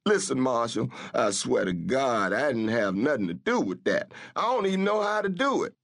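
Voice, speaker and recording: A man's voice: mid-range voice, very animated, normal speed, vocal fry, no echo, studio quality, pitched above the performer's own voice. A man voicing a frightened, pleading middle-aged man who begs a lawman to let him go, in broken grammar. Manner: desperate, begging, broken grammar.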